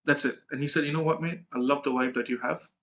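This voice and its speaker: Fast-talking young man telling stories. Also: fairly high pitch, fairly even intonation, fairly bright tone. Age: young adult. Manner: informal, slangy, acts out dialogue, frequent "right?" tags. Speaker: podcast co-host. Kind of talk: casual anecdotal storytelling with profanity.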